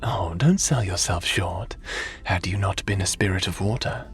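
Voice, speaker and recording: A man playing a gentle, literal-minded and courteous otherworldly lord in an ASMR roleplay drama. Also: low-pitched, over music, lightly acted. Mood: calm, noble. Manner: polite, precise, literal, unhurried wording.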